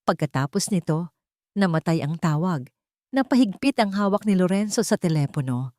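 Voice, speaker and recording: A woman's voice: on the low side, expressive, normal speed, a little mellow, dry recording, studio quality. A Filipino woman reading a scripted dramatic story as narrator.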